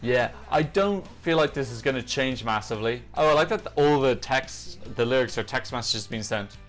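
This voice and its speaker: A man's voice: mid-range voice, expressive, normal speed. Man chatting casually over music. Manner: chatty, opinionated, thinking aloud. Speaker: host of a music reaction show. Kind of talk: casual off-the-cuff reaction commentary. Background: songs from music videos being reviewed.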